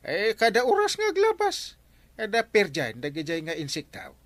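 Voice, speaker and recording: Wildly animated, fussy Ilocano farmer. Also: male voice, sits high, moderate pace, no room sound, heavily acted. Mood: fussing. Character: exasperated, excitable village farmer man, scolding and fussy. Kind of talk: Ilocano radio comedy drama acting.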